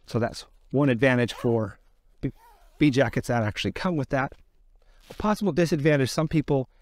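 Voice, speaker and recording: Middle aged guy explaining gear, plain spoken n measured, mid pitch voice, really dry close sound. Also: darkish tone, no echo. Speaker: presenter of a beekeeping product guide. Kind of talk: explanatory product demonstration. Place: outdoors in an open gravel yard by fields.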